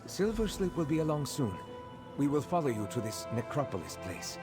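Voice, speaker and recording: An actor voicing a gentle, earnest, protective male cat-folk creature in a video game, with a measured manner. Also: a little high, big pitch swings. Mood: reassuring. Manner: speaks of self in third person, measured. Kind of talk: video game character dialogue. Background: game music.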